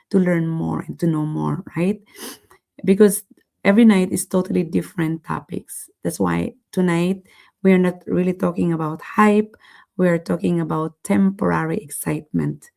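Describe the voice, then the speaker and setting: A woman with a raspy, somewhat hoarse voice gives an earnest motivational talk on a live stream, repeating phrases for emphasis. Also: lowish voice, dark tone. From lives in San Francisco, California. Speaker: live-stream host and motivational business mentor.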